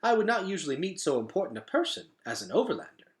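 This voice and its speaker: A man's voice: high voice, very animated, fast, pitched above the performer's own voice. A man voicing a shy young woman, a nanny who speaks in a formal, timid, earnest way. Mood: shy, polite.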